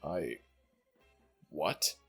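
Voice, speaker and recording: A man voicing an ancient jackal god in an audio roleplay, regal and fondly exasperated, with formal, measured speech and a deep, gravelly voice. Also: fairly bright tone, faint music behind, exaggerated voice. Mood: flustered, indignant.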